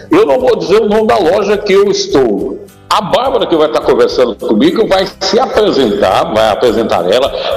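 High, lively man hosting live radio. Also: gravelly. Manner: teasing, playful questions. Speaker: radio host. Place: store, on location. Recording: echoey radio broadcast with music.

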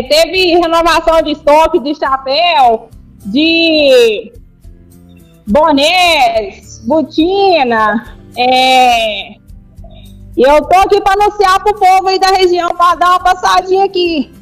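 High-voiced woman with a Goiás accent. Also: slow pace, soft background music, rough recording. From Goiás, Brazil. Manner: rural colloquial speech. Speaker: store representative. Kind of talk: radio interview guest.

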